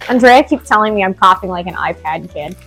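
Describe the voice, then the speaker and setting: A woman's voice: medium pitch, a bit bright, low-quality recording. Young female DIY vlogger, filler-heavy casual chatter, dry cough, recorded at home. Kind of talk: casual chat and talk-through of a DIY task. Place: home indoors.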